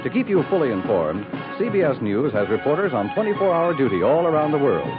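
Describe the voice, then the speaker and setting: A man's voice: medium pitch, sing-song, fairly quick. An American man announcing from a script in formal, emphatic 1950s radio style. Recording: tinny 1950s radio recording. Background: music.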